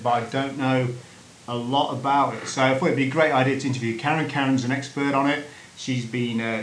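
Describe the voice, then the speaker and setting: A man hosting a casual interview, chatty and full of fillers and repeated words. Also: medium pitch. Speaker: podcast host. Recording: echoey room, faint noise, off-mic.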